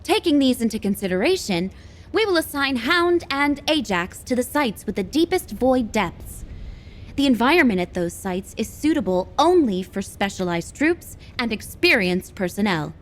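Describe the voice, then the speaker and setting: A woman's voice: a little high, big pitch swings, moderate pace. An actress playing an earnest, dutiful woman officer who briefs the team in precise, formal language. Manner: precise and formal, stammers when flustered. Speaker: operations officer. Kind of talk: game cutscene voice acting.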